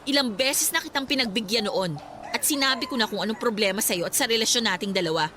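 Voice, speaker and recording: Radio drama role: young student, high forceful voice, firm and weary of her ex, faint music bed. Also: quick pace, slight background noise. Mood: firm, exasperated. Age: young adult. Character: young female college student, fed up with controlling ex. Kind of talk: Tagalog radio drama acting.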